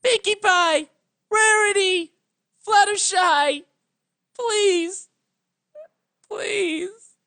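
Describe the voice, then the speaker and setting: A young pony princess of a storybook fantasy, desperate with grief. The voice is high, strained and loud, pleading and crying out. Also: drawn-out words, long pauses, full character voice. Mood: anguished shouting.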